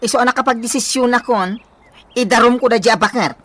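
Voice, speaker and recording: A woman's voice: a little high, brisk, fairly bright tone. A woman with a Filipino accent playing an indignant, complaining creditor in a comedy radio drama.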